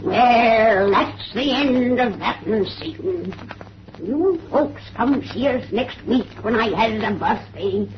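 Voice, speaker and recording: A woman's voice: fairly high pitch, takes it slowly. A woman playing a gleeful, ancient witch who tells horror tales in folksy rustic speech on an old radio show. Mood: ghoulish glee. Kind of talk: 1930s radio horror storytelling. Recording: muffled, hissy old radio recording.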